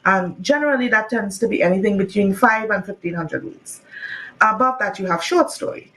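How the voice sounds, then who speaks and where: A woman from Trinidad and Tobago, an author talking casually in an interview, lively and laughing often, with many "you know"s. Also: mid-range voice, normal speed, rough recording. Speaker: author guest.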